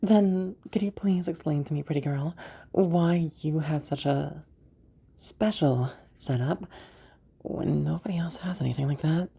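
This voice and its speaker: A woman performs a magical woman rescuer in a fantasy roleplay, teasing and full of amusement. Her voice is low and mellow with a husky grain, and she talks playfully with hesitant pauses. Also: full character voice. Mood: curious, puzzled. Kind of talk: roleplay audio drama.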